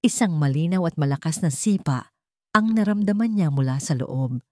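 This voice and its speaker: Low-pitched woman narrating a dramatic romance. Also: animated, normal speed, mellow, dry recording, studio quality. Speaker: story narrator.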